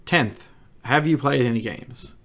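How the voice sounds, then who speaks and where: An Australian man hosting a casual podcast chat, croaky and gravelly from a recent cold, stammering through restarts. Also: medium pitch, measured pace, fairly bright tone. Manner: stammering restarts, laughs often. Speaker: podcast host.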